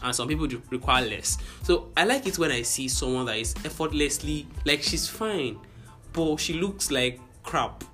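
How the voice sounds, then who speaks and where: Bright-voiced young man hosting a chatty podcast. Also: a little high, lively intonation, moderate pace, soft background music. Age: young adult. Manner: playful, laughs often, swears casually. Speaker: podcast host. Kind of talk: casual chat.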